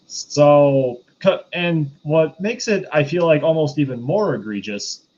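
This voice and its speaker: A man co-hosting a casual movie discussion, making analytical points step by step in a halting way. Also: a little high, expressive, a little mellow, dull audio. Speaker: podcast co-host.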